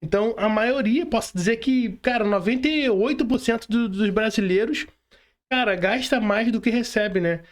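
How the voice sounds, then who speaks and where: High-voiced Brazilian man hosting a casual podcast. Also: normal speed. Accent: Brazilian Portuguese. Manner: informal, slangy, addresses listeners directly. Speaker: podcast host.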